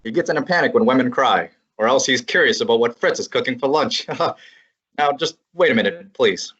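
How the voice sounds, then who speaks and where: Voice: medium pitch, expressive, brisk, a bit bright, breathy edge, dull audio. A performer playing a brash, wisecracking young assistant. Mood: needling. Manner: brash, teasing, breezy. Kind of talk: old-time radio drama acting and narration.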